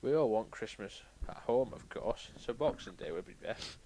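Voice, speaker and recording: A man playing a crabby, boastful railway boss who reads a storybook aloud with irreverent, deadpan asides. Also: on the low side, moderate pace, slight background noise, close to an everyday voice. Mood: mock-polite, scornful. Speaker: comic storybook reader. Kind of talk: irreverent ad-libbed storybook reading.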